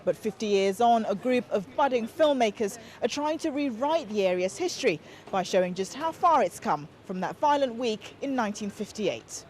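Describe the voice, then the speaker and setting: A woman reporter narrating a scripted television feature in a measured, storytelling way. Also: a little high, expressive, a little mellow, slight background noise. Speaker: news reporter.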